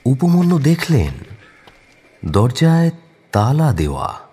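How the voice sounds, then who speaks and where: A man's voice: mid-range voice, big pitch swings, slow, warm tone, husky. A man narrating a scripted crime audio story in a dramatic storytelling style.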